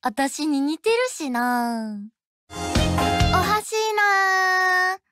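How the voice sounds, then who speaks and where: A woman voicing a cheerful, easygoing high school baseball girl in a game, with drawn-out playful sentence endings. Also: steady tone, exaggerated voice. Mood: relaxed, playful. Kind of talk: game character voice lines. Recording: loud game music behind the voice. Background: game music.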